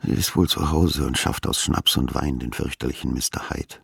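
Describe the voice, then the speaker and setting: A weary middle-aged writer broods in a radio-play monologue. His voice is extremely low and very rough, recorded dry and close. He mocks himself and sounds full of longing. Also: steady tone, moderate pace, a bit bright, no echo, full character voice. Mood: bitter, brooding. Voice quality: gravelly, breathy.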